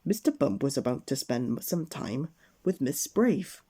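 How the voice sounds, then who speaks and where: A man reading a children's storybook aloud at bedtime, gently addressing the young listener. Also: medium pitch, very animated, a little slow, slightly rough.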